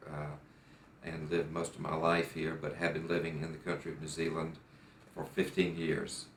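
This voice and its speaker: Deep-voiced American man, echoey room. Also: monotone, a little hiss or hum. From United States. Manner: deliberate, careful storytelling with frequent restarts. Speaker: patient. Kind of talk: personal testimonial. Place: doctor's office.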